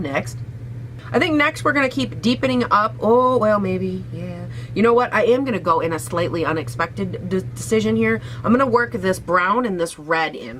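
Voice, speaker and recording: A young woman with a raspy voice, hoarse from a cold, chatting casually and sassily through a makeup try-on at home. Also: on the low side, a bit bright, slight background noise. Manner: chatty, sassy, swears casually. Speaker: beauty vlogger.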